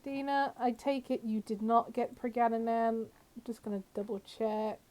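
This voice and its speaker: A woman's voice: on the high side, flat delivery, normal speed, dark tone. A woman with a slightly scratchy voice from a cold, chatting casually as she live-streams a game to viewers and talks to its characters. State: has a cold.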